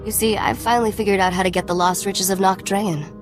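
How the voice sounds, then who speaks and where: A woman's voice: medium pitch, fairly even intonation, fast talker, music in the background, close to an everyday voice. A woman playing a shrewd, self-assured young smuggler in a video game, cool and wry. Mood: persuasive.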